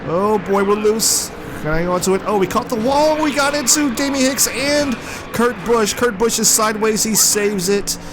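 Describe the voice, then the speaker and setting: A man's voice: high-pitched, normal speed. A man with a sore, congested throat from flu, giving play-by-play commentary over his own racing game. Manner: play-by-play, excited when the car slides. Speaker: gameplay commentator. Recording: game car noise behind voice. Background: racing game engine noise.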